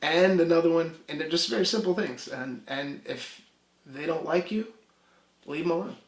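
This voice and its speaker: A man, a musician guest, talking casually in an interview, stumbling and restarting often. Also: a little high. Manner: halting, many restarts. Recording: echoey room.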